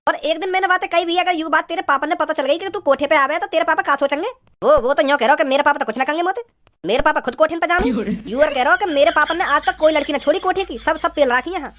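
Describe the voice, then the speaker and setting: A man voicing a brazen, gossipy rustic brothel keeper in an amateur comedy cartoon, insistent and rambling, with a pitched-up voice. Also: quick pace, pushed and bright. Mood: brazen, tattling.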